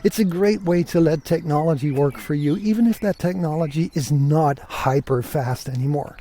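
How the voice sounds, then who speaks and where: A man's voice: on the high side, normal speed, dark tone. A man giving a casual, reflective podcast monologue as host, informally thinking aloud.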